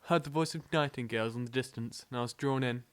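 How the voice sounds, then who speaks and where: A young man plays a romantic suitor in an audio drama, with a smooth, clear voice. He is poetic, charming and smitten. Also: medium pitch, quick pace, natural voice. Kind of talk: audio play acting.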